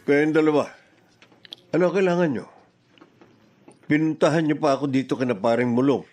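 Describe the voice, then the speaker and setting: A man's voice: fairly high pitch, steady tone, normal speed, a little mellow, soft background music, natural voice. A man with a Filipino accent playing a selfish, scheming, defiant middle-aged father in a radio drama. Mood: defiant, irritated.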